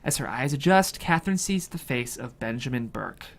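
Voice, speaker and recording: Script narrator doing stage directions at a table read, medium pitch. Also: animated, moderate pace, vocal fry.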